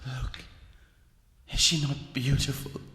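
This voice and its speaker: An actor playing a tormented, half-mad aristocrat in a radio drama, theatrical and feverish, swinging between hushed and frantic delivery. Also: male voice, medium pitch, level tone, slow pace, heavy background noise, natural voice. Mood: mournful, eerie. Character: tormented, half-mad male aristocrat, last of a cursed line. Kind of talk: melodramatic radio drama acting.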